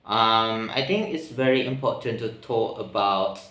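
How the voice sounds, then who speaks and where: A man with an Indonesian accent, speaking English as a second language, talking slowly and haltingly, searching for words with many pauses and ums. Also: lowish voice, pushed and bright. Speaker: vlogger practicing spoken English. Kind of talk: reflective solo monologue. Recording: roomy echo, mic at a distance.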